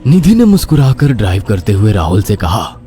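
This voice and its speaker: A man's voice: fairly low pitch, big pitch swings, fairly quick, mellow. A man narrating a horror cartoon story in a dramatic, theatrical way. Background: background music.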